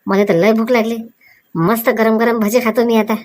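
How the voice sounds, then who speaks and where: A woman's voice: on the high side, little pitch movement, normal speed, darkish tone, natural voice. A woman voicing a greedy, carefree grown son in a cartoon comedy, with a rural Vidarbha Marathi accent and a cheeky manner. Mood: greedy, smug. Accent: Marathi, Vidarbha dialect. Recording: music under the voice.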